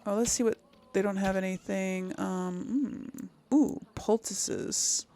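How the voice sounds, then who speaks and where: A woman's voice: mid-range voice, fairly even intonation, takes it slowly, mellow. A woman giving casual gameplay commentary, thinking aloud and murmuring to herself as she reads things out. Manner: murmurs to self, reads item text aloud. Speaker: gaming video host.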